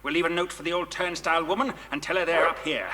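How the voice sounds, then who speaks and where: A man's voice: on the high side, fairly even intonation, fast talker, in a large hall, background noise, close to an everyday voice. A man playing a menacing, bossy kidnapper with a gun in a children's audio drama, barking curt orders. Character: menacing male gunman and kidnapper, harsh and bossy.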